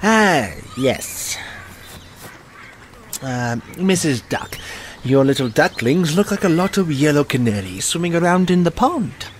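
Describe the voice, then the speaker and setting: Audio drama water rat, male actor: haughty, self-centred, lightish pitch, animated delivery. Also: a little slow, a little hiss or hum. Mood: genial. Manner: haughty, dismissive.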